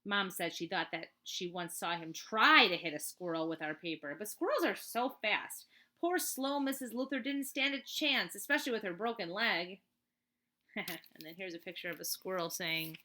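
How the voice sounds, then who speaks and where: A woman reading a children's book aloud as a teacher, in an expressive, chatty way with casual asides. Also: medium pitch, normal speed. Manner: expressive, chatty, emphatic on list items.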